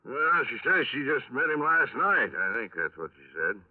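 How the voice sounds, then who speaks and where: A man acting a folksy, unhurried police detective in a 1950s radio drama, speaking in a hesitant, rambling, casual way. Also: medium pitch, sing-song. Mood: matter-of-fact. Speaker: actor in a radio crime drama. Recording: narrow-band vintage 1950s radio recording.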